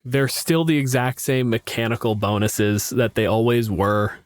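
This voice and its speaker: A man's voice: mid-range voice, fairly even intonation, normal speed, no echo, clean studio sound. A man co-hosting a podcast as a game master, chatting casually and bluntly about tabletop rules, with opinions and plenty of swearing.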